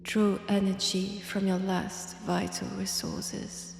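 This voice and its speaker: A woman with a French accent playing a menacing, seductive female vampire in an intimate roleplay audio drama, softly taunting and often whispering. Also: mid-range voice, level tone, slow pace, vocal fry, soft background music. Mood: coaxing, commanding.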